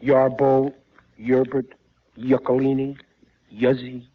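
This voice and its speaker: A man's voice: mid-range voice, level tone, slow, natural voice. An actor playing a stammering, gullible, easily flustered working-class family man in a 1940s radio comedy. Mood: muttering, stubborn. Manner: stammering, exclaiming, exasperated. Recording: muffled, noisy 1940s radio recording.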